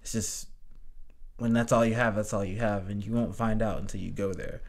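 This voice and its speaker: A man's voice: fairly low pitch, fairly even intonation, brisk. A man in casual podcast chat, rambling with constant "like" fillers and casual swearing.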